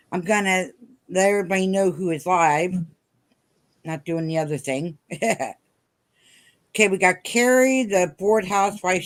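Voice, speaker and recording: A host runs through shout-outs to other live streamers in a casual way. The delivery is slow and unhurried, reading items off a list. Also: medium pitch.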